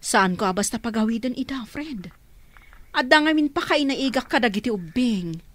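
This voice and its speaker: A woman voices an earnest, caring woman in a radio drama, her voice sitting a little high and sounding close and dry. She asks gently but with concern. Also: lively intonation, moderate pace, dry recording. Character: caring woman named Flora, recently returned, concerned for orphans.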